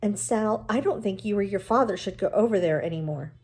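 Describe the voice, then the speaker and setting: A woman's voice: mid-range voice, brisk, a little mellow, husky. Lady reading as bossy know-it-all teen girl in a storybook, suspicious, dismissive. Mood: suspicious, insistent.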